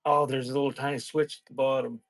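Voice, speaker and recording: A man with a medium-pitched voice hosts a casual live selling stream. He talks in a loose, scattered way, thinking aloud as he goes. The sound is dry and close, with very little room in it. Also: level tone, fast, no echo. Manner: rambling, scattered, thinking out loud. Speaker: live-stream seller hosting a sale and unboxing.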